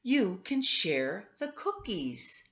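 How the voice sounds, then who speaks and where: A woman reads as a hospitable storybook mother, welcoming and singsong. Her lines swing widely in pitch and are drawn out slowly. The sound is a bit dull. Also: wildly swooping pitch, fairly bright tone, full character voice.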